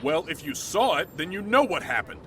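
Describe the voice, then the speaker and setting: An actor playing a gruff, blunt middle-aged dad who catches creatures, in a naturalistic audio drama with some swearing. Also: male voice, on the high side, very animated, brisk, very noisy. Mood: agitated.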